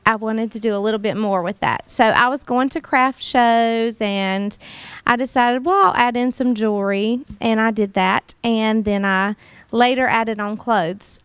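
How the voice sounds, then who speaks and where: Clear, earnest woman speaking on a panel. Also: medium pitch, no room sound. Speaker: kindergarten teacher and boutique owner. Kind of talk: personal panel talk. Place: warm college room.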